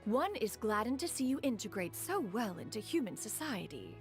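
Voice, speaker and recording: A woman's voice: a little high, sing-song, normal speed. A woman voicing a proud, formal crane spirit and inventor who calls herself "one" and speaks in stiff, old-fashioned phrasing, in a video game. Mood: haughty formal. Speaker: master. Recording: game audio with music behind.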